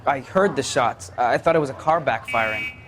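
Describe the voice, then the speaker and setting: An actor playing a polite, shaken bystander in a crime drama game, speaking hesitantly. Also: male voice, mid-range voice, normal speed, a bit bright, natural voice. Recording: noisy outdoor street ambience.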